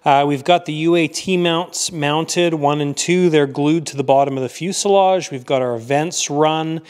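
A man's voice: on the high side, moderate pace, smooth. A man casually narrating his model aircraft build in a workshop, thinking aloud with frequent fillers.

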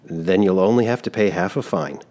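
A man reading a storybook aloud, voicing a smiling male town constable who speaks with great courtesy and gentle insistence. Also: low-pitched, fairly quick, lightly acted. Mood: courteous.

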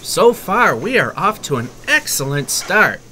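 A man's voice: high voice, very animated, unhurried, bright, forceful tone, a little hiss or hum, poor audio. A man announcing scores and recaps as narrator of a bowling show. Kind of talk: scripted recap announcing over music.

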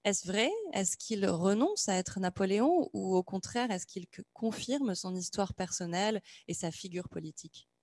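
A woman interpreting aloud in a measured way, pausing between phrases as she renders them. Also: medium pitch, expressive, darkish tone. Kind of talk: consecutive interpreting, unscripted.

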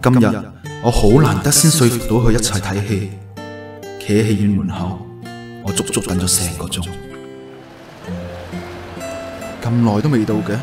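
A man's voice: lowish voice, fairly quick, lightly acted. A man acting a devoted, earnest and unsure young man in love in a radio drama, with a Hong Kong Cantonese accent. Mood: dejected. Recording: roomy echo, music bed.

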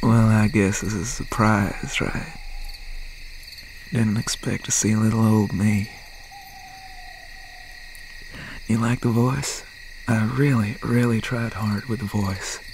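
A man playing an obsessed, bitter young serial killer boyfriend in an ASMR roleplay, speaking with breathy, half-whispered menace and pauses. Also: on the low side, background noise. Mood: taunting menace. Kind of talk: ASMR roleplay, dramatic monologue. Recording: steady nighttime ambience over the voice. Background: crickets, insects and owl night sounds.

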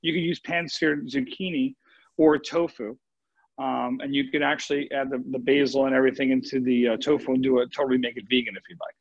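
A man giving a casual cooking demo as a chef over a video call, talking informally and haltingly as he thinks aloud. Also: mid-range voice, fairly even intonation, no room sound. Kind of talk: casual live cooking demo, question and answer. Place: home kitchen on a live video call. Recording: muffled dry online video-call sound.

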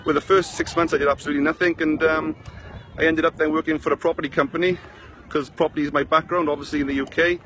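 A rambling, chatty man with a thick South Wales valleys accent, talking into a phone at arm's length outdoors. His voice sits a little high for a man and stays fairly flat in pitch. Faint outdoor noise hums behind him. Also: fast, rough recording. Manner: rambling, chatty, greets viewers by name. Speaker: online marketing vlogger. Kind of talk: casual Facebook live chat. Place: sunny beach outdoors. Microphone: phone held at arm's length.

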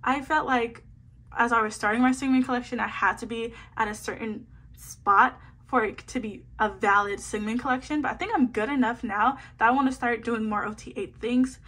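A woman's voice: on the high side, steady tone, moderate pace, fairly bright tone. A woman chatting casually as she sorts photocards into a binder, bubbly and slangy with lots of little asides. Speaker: photocard collecting vlogger. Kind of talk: casual chat while sorting a binder. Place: indoors at a table, hands seen filming a binder.

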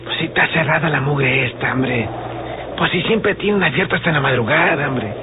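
Radio serial: male actor as folksy Mexican drunkard, exclamatory, fairly high voice, heavy noise. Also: animated, moderate pace, close to an everyday voice. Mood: grumbling. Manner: colloquial, exclamatory muttering to himself. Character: grumbling folksy drunkard man out hunting for liquor. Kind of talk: old Mexican radio drama acting. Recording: tinny, very noisy old radio recording.

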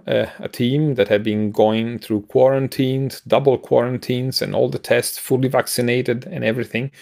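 A middle-aged man co-hosting a casual news discussion over a video call, speaking haltingly with frequent pauses. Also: mid-range voice, creaky, darkish tone. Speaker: podcast co-host. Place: home room, indoors.

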